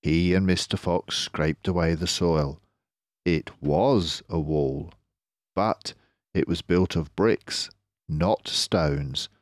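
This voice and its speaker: An older man reads a children's book aloud in a measured, expressive storyteller's voice. His pace is unhurried and the recording is dry and close. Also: low-pitched, no room sound. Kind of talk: scripted storybook narration.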